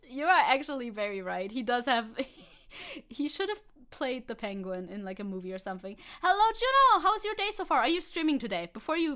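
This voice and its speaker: A woman's voice: a little high, sharp tone. A young woman VTuber streamer chatting casually and sarcastically, reading news and chat aloud, swearing and laughing.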